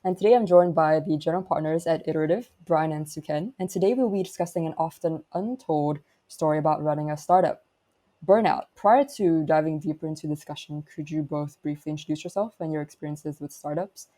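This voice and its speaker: A woman's voice: deep voice, fairly quick, warm tone. A woman hosting a podcast, reading prepared questions and definitions. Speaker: podcast host. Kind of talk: scripted interview questions.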